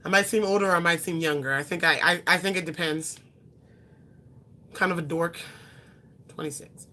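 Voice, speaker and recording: A young woman in her twenties chats with her viewers, answering their questions off the cuff. Her voice sits low yet sounds bright and forward. She rambles a little, filling gaps with ums and correcting herself as she goes. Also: fairly even intonation, moderate pace. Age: 20s. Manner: chatty, rambling, with frequent ums and self-corrections. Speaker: beauty vlogger.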